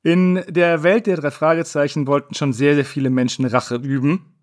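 Mann, etwas hohe Stimme, liest vor. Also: male voice, fairly high pitch, fairly even intonation, normal speed. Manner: reads definitions aloud, explains. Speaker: quiz host. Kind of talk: casual podcast quiz.

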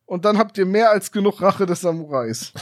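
A man's voice: high-pitched, animated, normal speed, dry recording. A man co-hosting a podcast in casual chat, making wry, dry jokes. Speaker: podcast co-host. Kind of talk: casual chat reviewing an audio drama.

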